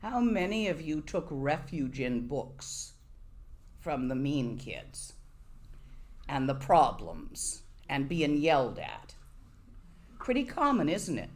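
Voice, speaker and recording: A woman's voice: deep voice, big pitch swings, slow, pauses often, darkish tone. A woman giving a Buddhist dharma talk to a live audience, conversational and wry, often asking her listeners questions. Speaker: Buddhist teacher.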